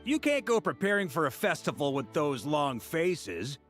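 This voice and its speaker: Breezy, very animated hearty man. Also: a little high, moderate pace. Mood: breezy encouragement. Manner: breezy, jovial, reassuring. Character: easygoing, hearty, upbeat adult male adventurer. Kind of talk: video game voice acting. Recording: music behind the voice.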